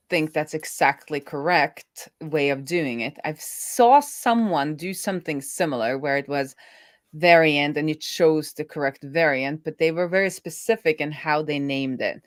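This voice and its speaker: A woman's voice: low voice, measured pace, dry recording, studio quality. A Norwegian woman with an American-sounding English accent, chatting casually while she codes on a live stream and thinking aloud with many fillers. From Norway. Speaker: developer co-hosting a live build stream. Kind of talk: casual live coding chat.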